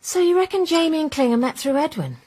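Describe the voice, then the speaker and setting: An actress playing a clever, forthright younger woman with a husky, seductive manner in a radio drama. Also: sits high, expressive, quick pace, dark tone. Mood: brisk, thoughtful. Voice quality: husky, breathy.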